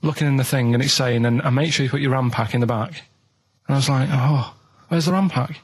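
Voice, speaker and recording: A man's voice: mid-range voice, fast, breathy edge. A man telling casual anecdotes on a radio show in a matter-of-fact, colloquial way.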